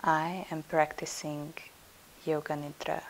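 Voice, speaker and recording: A woman leading a yoga nidra guided meditation as instructor, soothing and unhurried, leaving pauses between her instructions. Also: low-pitched, little pitch movement, a little hiss or hum.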